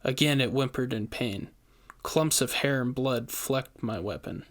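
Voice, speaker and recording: A man's voice: mid-range voice, a little slow. Man narrating a first-person horror tale. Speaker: storyteller.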